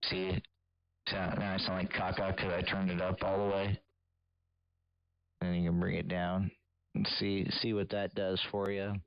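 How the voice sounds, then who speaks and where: Low, creaky male voice for a patient radio hobbyist talking someone through settings, close and dry. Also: monotone, a little slow, long pauses, no room sound, muffled recording. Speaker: CB radio operator coaching another on audio settings. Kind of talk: casual technical instruction.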